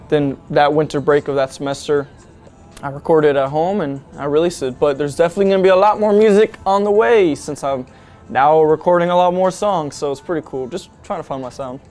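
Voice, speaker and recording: Young man, fairly high voice, earnest, enthusiastic, rambling. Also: a little mellow, clean tone. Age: young adult. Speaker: musician and student interviewee. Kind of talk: casual interview answers.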